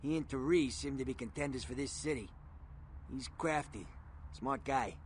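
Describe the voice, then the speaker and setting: An actor playing a streetwise, world-weary criminal informant in a video game, talking tough and slangy in a conversational way. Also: male voice, medium pitch, moderate pace, a little hiss or hum, close to an everyday voice. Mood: confiding, wary.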